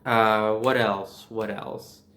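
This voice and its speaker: A young man telling personal stories in a casual, animated way, swearing freely and acting out bits of dialogue. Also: on the low side, extremely slow. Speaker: storyteller.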